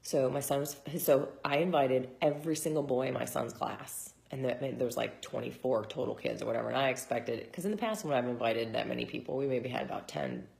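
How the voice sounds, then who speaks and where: Hoarse, low-voiced chatty woman. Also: fairly quick, few pauses, darkish tone. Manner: chatty, rambling with many fillers. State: hoarse from shouting. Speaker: vlogger and mom. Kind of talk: casual chat to camera.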